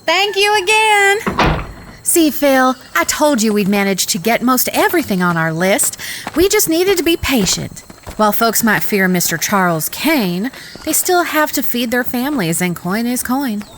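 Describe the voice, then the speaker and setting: Casting: actress as sweet but bossy Old West young lady, high, very animated voice, polite and folksy. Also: measured pace, background noise. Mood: pleasant. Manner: polite, folksy, gently scolding. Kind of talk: Western audio drama acting.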